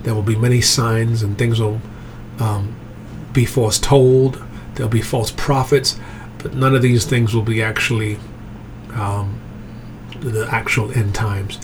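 A man's voice: fairly low pitch, fairly even intonation, a little slow, pauses often, background noise. A man who grew up in England, teaching a Bible study in an informal, conversational way and checking in with his listeners as he goes. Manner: conversational, checks in with "okay?" and "right?".

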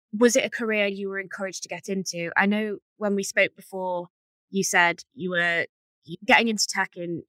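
A woman's voice: medium pitch, pushed and bright. A woman hosting a podcast, giving scripted introductions and putting questions to guests. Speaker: podcast host.

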